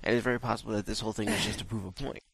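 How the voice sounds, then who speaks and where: A man's voice: on the low side, steady tone, racing, gravelly, fairly bright tone, slight background noise. A man co-hosting a casual gaming podcast, laid-back and hesitant, with plenty of uhs and casual swearing. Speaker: podcast co-host.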